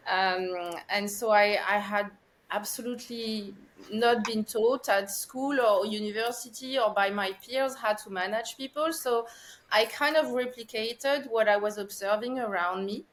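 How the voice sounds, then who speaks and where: A woman in her forties from France with a French accent, speaking as a podcast guest in a thoughtful, measured way with frequent pauses and fillers. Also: mid-range voice, slight background noise, rough recording. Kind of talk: podcast interview.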